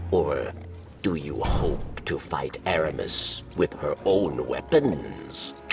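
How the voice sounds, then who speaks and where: An actor playing a wary, solemn old alien exile in a video game, with guttural, halting, ominous delivery. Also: male voice, a bass voice, far from the mic, exaggerated voice. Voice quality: gravelly, guttural. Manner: halting, deliberate, ominous phrasing. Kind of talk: video game cutscene acting.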